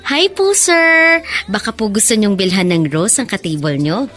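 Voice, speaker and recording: A woman playing a gentle, polite and earnest young daughter who narrates her hard life in a radio drama. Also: mid-range voice, lively intonation, normal speed, faint music behind, natural voice. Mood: earnest, hopeful. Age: young adult.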